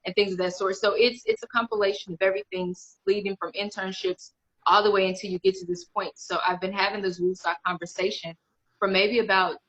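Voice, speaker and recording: Bright-voiced woman on a lo-fi call. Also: mid-range voice, little pitch movement, brisk. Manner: candid, rambling, many restarts. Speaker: guest author. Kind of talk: casual interview chat. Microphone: video call.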